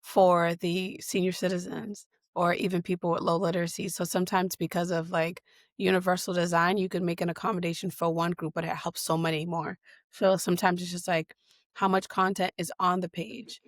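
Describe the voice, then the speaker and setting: Woman, guest in an interview, low-pitched, hesitant speech with many ums, dry recording. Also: level tone, fairly quick, no echo. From Boston. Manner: hesitant, many fillers and restarts. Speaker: guest, digital equity leader. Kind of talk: conversational interview answers.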